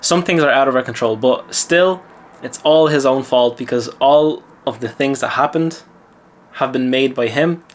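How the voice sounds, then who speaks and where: A man's voice: medium pitch, normal speed. A man from Lithuania with an Irish accent, a mindset coach telling a gym story in casual talk and then giving self-assured advice. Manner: chatty, self-assured, lecturing.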